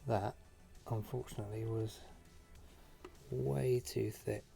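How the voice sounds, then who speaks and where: Low-voiced, mellow man talking through a task. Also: steady tone, creaky, faint music behind. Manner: unhurried, thinking aloud with pauses while concentrating. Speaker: host of a model painting tutorial. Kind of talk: casual talk-through while painting.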